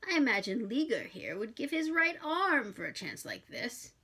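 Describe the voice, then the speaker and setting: A woman voicing a grim, malicious male demon who speaks formally, with deliberate, menacing pauses. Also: a little high, sing-song, pushed and bright, husky, voice pushed up, full character voice. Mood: gloating.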